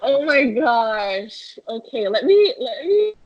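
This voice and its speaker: A woman's voice: a little high, sing-song, takes it slowly, dry recording. A young woman from Malaysia, a metal band singer, answering interview questions in a chatty way full of fillers and restarts. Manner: chatty, many fillers and restarts, laughs often. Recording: dull lo-fi sound, dry.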